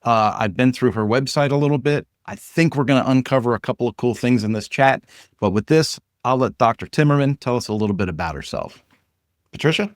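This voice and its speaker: A man's voice: medium pitch, expressive, a little fast, no room sound, studio quality. A man hosting a podcast, upbeat and chatty, joking casually with his guest. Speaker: podcast host. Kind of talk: casual interview.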